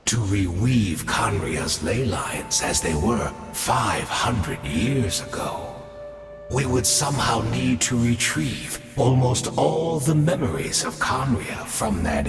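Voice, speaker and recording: A man's voice: fairly low pitch, creaky, heavily acted. An actor voicing a scheming, smug male villain in a video game, speaking with theatrical, polished menace. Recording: echoey reverb with game music.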